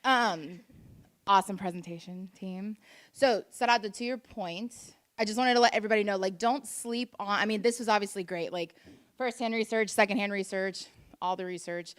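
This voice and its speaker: A woman's voice: mid-range voice, normal speed. A woman steps up to a forum microphone and talks eagerly and casually. She rambles a little and peppers her speech with "like" as she makes her point. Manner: enthusiastic and chatty, with many "like"s and restarts. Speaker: dance group director. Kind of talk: audience comment at a forum.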